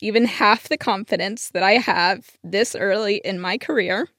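A woman's voice: mid-range voice, moderate pace, fairly bright tone, no echo. Young woman producer, dry close sound. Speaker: podcast producer. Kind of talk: casual, heartfelt studio chat.

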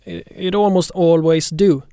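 A man's voice: a little high, fairly even intonation, a little slow, creaky, warm tone, clear, resonant tone, dry recording, studio quality. A Swedish-accented man, an investigative reporter, giving an account in an interview.

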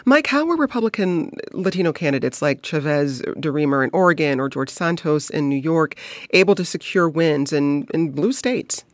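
A woman hosting a news radio show, reading introductions and listener emails in a clear, scripted way. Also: deep voice, animated, normal speed, no room sound, studio quality. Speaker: radio host.

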